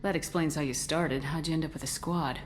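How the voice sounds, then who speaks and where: A woman playing a steady, tough military commander in a video game, direct and controlled as she asks questions. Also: sits low, brisk, close to an everyday voice. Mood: calm, probing. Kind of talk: video game dialogue acting.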